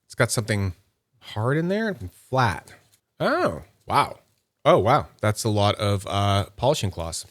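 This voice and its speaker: Male reviewer, slightly low register, dry close sound. Casual and upbeat, thinks aloud while unboxing. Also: very animated, measured pace, fairly bright tone, dry recording. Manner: chatty, thinks aloud while handling items; nerdy and upbeat. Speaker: YouTube fidget toy reviewer.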